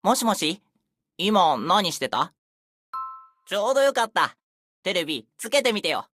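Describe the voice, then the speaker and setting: Game role: excitable boyish youth, quick delivery, highly animated, friendly and chatty. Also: female voice, slight background noise. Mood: excited, friendly. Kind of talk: scripted Japanese game character acting.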